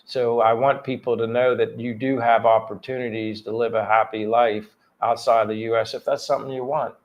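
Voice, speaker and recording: A man's voice: mid-range voice, flat delivery, moderate pace, lo-fi sound. A man in his fifties from Pennsylvania, chatting earnestly and a bit ramblingly on a livestream. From Pennsylvania, USA. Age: 50s. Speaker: livestream co-host. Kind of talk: casual livestream chat.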